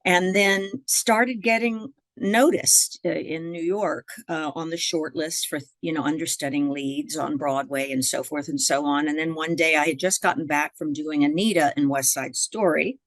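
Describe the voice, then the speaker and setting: Chatty low-voiced woman from Miami. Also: fairly even intonation, moderate pace, few pauses, no echo. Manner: chatty, many fillers and restarts, laughs often. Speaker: guest jazz vocalist. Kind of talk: relaxed interview storytelling.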